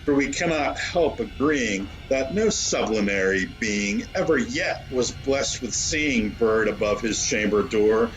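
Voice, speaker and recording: A man recites gothic poetry in a fairly low voice. He reads very slowly and theatrically, weighing each phrase. Faint music plays behind him. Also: rough recording. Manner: theatrical, deliberate. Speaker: poetry reader. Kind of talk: dramatic gothic poetry reading.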